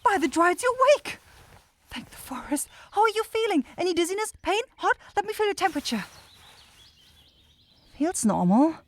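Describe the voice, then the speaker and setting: A woman's voice: very high-pitched, sing-song, normal speed, long pauses. A woman voicing a caring, easily flustered young forest witch in an ASMR fantasy roleplay, speaking in hesitant, blurting phrases. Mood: relieved, worried.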